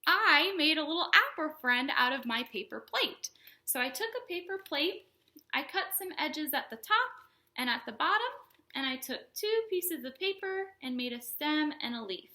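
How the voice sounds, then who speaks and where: A woman hosting a storytime for young children, speaking in simple explanatory phrases with an animated, sing-song delivery. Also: high voice, normal speed. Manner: animated, exaggerated questions and exclamations for young children. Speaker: children's librarian and storytime host.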